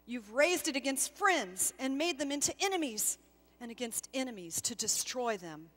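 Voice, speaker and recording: A woman's voice: sits high, big pitch swings, a bit bright, in a large hall, exaggerated voice. Woman voicing a firm, solemn guardian angel. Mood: solemn, stern. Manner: firm but not unkind, measured, authoritative.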